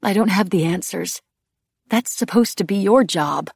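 Audiobook role: bitter young woman, acted by a female narrator, husky and creaky, halting, pleading delivery. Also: mid-range voice, a little mellow, dry recording, clean studio sound, voice pushed up. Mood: troubled, searching. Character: young woman, bitter, desperate, irreverent, praying to absent god. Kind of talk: audiobook character dialogue.

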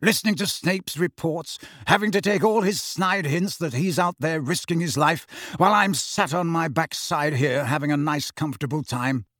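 Man playing a husky, bitterly sardonic man. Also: fairly high pitch, big pitch swings, normal speed, a bit bright, no room sound, studio quality, exaggerated voice. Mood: sarcastic. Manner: sarcastic, colloquial. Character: grim, bitter, sardonic man, restless and cooped up.

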